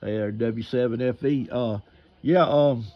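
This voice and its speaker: Male ham radio operator, medium pitch, folksy rambling chat, dull lo-fi radio sound. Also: a little slow, very noisy. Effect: radio transmission. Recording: dull, limited-band amateur radio audio.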